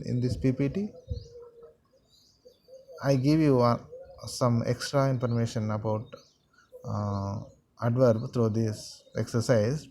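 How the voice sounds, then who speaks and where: A man teaching a grammar lesson to students, repeating sentences and pausing often with fillers. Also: medium pitch, warm tone, a little hiss or hum. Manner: slow, repetitive, halting with many fillers.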